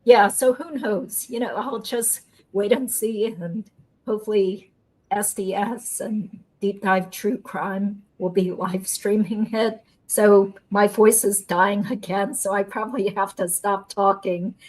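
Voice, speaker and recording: A woman's voice: mid-range voice, steady tone, slow, a little mellow, poor audio. A woman host, a lawyer, chatting casually in an upbeat way and explaining court matters. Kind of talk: casual chat and legal commentary.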